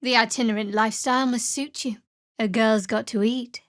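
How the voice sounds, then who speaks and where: An actress voicing a guarded, wry and devout young female cleric in a fantasy video game, with a cool, measured, dryly sardonic delivery. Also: on the high side, steady tone, fairly bright tone, no echo, clean studio sound, lightly acted. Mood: dry, teasing.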